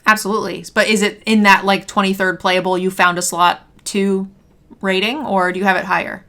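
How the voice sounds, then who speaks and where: Young woman host, bit hoarse from losing her voice, chatty and upbeat, middle pitch. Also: little pitch movement, a little fast, a bit bright. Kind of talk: card game review chat. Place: home streaming room, indoors.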